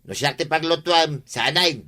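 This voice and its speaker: A man's voice: a little high, normal speed, bright, forceful tone. An actor playing a skeptical, nagging village man in a radio comedy drama, questioning and scoffing. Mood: skeptical, prodding.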